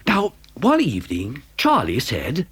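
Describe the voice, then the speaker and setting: A man's voice: mid-range voice, huge pitch swings, measured pace, over music. An actor playing an exuberant, boastful chocolate maker who tells a children's story theatrically, savouring his words. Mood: storytelling. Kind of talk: dramatised children's story narration.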